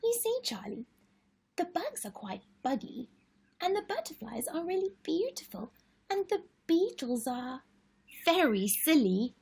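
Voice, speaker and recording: Lola, a woman doing a squeaky little girl. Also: very animated, measured pace, darkish tone, exaggerated voice. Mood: giggly. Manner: high, pleading, sing-song. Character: excitable little girl, bug-mad and insistent. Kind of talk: storybook read-aloud.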